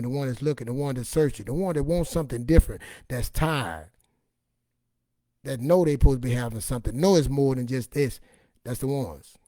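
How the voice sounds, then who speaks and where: A raspy-voiced man giving an informal, emphatic personal testimony in a streetwise, repetitive way, acting out bits of dialogue as he goes. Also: medium pitch, dark tone, no room sound, clean studio sound. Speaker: online motivational and faith speaker.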